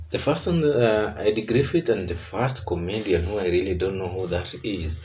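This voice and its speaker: A man's voice: low voice, darkish tone, highs cut off, slight background noise. A Kenyan man hosting a reaction video, earnest and reflective as he comments.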